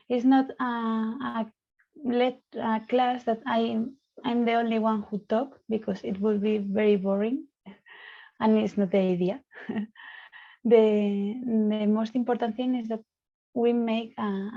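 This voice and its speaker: A woman from Spain with a Spanish accent, a psychologist leading an online debate in slow, hesitant English full of fillers. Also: a little high, vocal fry, dark tone. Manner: slow, hesitant, frequent fillers and light laughs. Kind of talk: online discussion session. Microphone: video call. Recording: dull lo-fi call audio.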